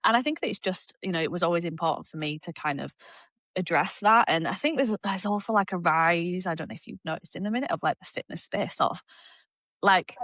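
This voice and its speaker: A young woman with a Yorkshire accent, a women's fitness coach, talking in an animated, laughing way as a podcast guest. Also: fairly low pitch, no echo. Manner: animated, laughs often, quick asides. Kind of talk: casual podcast interview.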